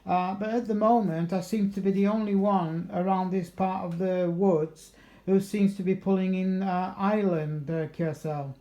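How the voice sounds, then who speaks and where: A man's voice: sits high, steady tone, moderate pace, mellow, roomy sound. A man with a southeast English accent chatting casually and matily as a radio operator, using procedure words. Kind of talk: casual radio contact chat. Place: kitchen at home.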